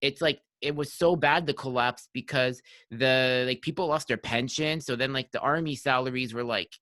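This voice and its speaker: A man of about thirty hosts a podcast, rambling through his questions with constant likes and restarts. His voice is bright and forceful, and the sound is close and dry, with no room echo. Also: mid-range voice, moderate pace. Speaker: podcast host. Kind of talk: casual interview chat.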